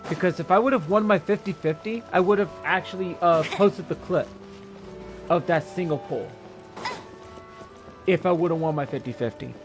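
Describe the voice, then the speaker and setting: Guy streaming a game, kinda high voice, rambly n halting, faint music in back. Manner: rambling, halting, repeats himself. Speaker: game livestreamer. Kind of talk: casual livestream chat.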